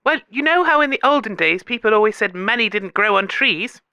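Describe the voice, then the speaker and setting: A man's voice: high voice, lively intonation, fairly quick, sharp tone, smooth, no echo, heavily acted. A man voicing a dreamy, daft woman caller in a comedy radio sketch. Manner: airy, starry-eyed.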